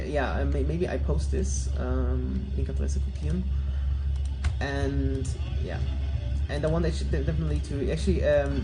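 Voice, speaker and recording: A man's voice: fairly high pitch, low-quality recording. A man whose first language is Polish hosts a casual live stream. He rambles with many fillers and restarts and greets chat viewers by name. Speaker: livestream host. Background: music playing.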